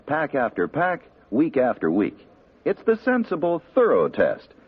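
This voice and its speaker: Tinny vintage radio ad announcer. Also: male voice, medium pitch. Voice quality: smooth selling delivery. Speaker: commercial announcer. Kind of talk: 1940s radio ad read. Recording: tinny old radio broadcast.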